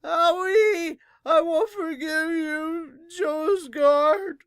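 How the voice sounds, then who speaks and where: A podcast host voicing a spoiled, vengeful young nobleman from a manga with a wailing, drawn-out delivery. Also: extremely high pitch, no room sound, clean studio sound, voice pushed up, exaggerated voice. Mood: whining fury.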